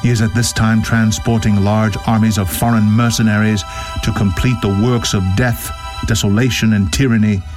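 A man gives a solemn dramatic reading of a historical document in a grave, deliberate, declamatory manner. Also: lowish voice, level tone. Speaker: reader. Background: music.

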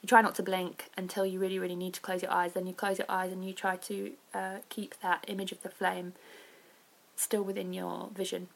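Creaky-voiced woman, informal podcast chat. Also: fairly low pitch, monotone, a little fast, rough recording. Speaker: podcast host.

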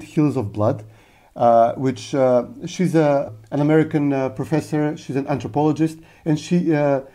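Mellow-voiced man, halting and earnest. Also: on the high side. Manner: halting, full of uh fillers; earnest storyteller. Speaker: podcast co-host. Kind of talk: casual podcast discussion.

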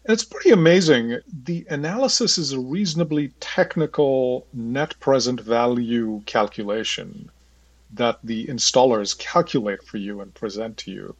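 A man hosting an interview podcast, speaking conversationally in measured, rather formal and wordy sentences. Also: medium pitch, no room sound. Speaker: podcast host, works in finance.

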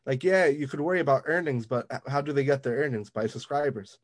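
A man's voice: medium pitch, fast, no echo, clean studio sound. A male podcast co-host chatting casually about business in an agreeable way.

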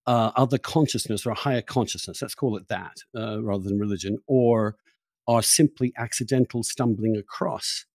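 A man's voice: fairly low pitch, normal speed, darkish tone, no echo, clean studio sound. A man hosting a reflective long-form interview, searching for his phrasing and often repeating words as he thinks aloud. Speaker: interviewer, podcast host.

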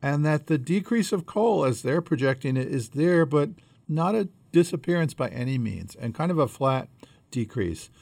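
Man, podcast co-host, heavy vocal fry, dry close sound, measured explanatory talk. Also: fairly high pitch, lively intonation, a little mellow, no room sound. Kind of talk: conversational podcast discussion.

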